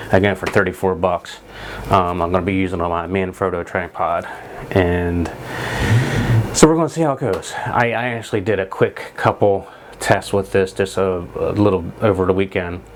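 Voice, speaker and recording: A man's voice: low-pitched, a little hiss or hum. A man in his forties with a stuffy nose from a cold, casually demonstrating camera gear with lots of fillers and restarts. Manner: rambling, filler-heavy, restarts. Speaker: photography vlogger. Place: home studio room with monitors.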